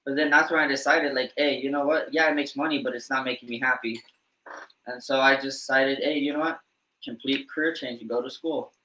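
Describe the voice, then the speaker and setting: A man's voice: medium pitch, steady tone, brisk, pushed and bright. A man co-hosting a casual podcast, telling his own story in an earnest way. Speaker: podcast co-host. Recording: echoey room.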